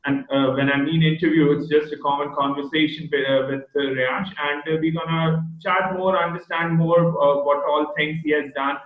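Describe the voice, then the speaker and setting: A man hosting an online interview with a child guest, talking in a halting way and often stopping to search for words. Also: fairly high pitch, fairly even intonation. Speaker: host. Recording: echoey, tinny phone-like video call audio.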